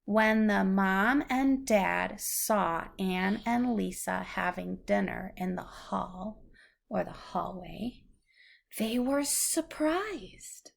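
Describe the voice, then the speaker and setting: Woman doing a read-aloud for a little kid, unhurried, bit of creak in her voice, patient. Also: medium pitch. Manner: patient, explains words simply. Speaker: adult reading aloud to a young child. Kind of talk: slow read-aloud storytelling with questions to a child.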